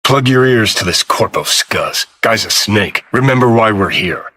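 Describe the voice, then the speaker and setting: A man voicing a cynical, abrasive rebel in a video game, with a gritty rasp and a sardonic, commanding way of talking. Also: lowish voice, expressive, measured pace, sharp tone, slight background noise. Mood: sardonic, wary. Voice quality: raspy, gritty.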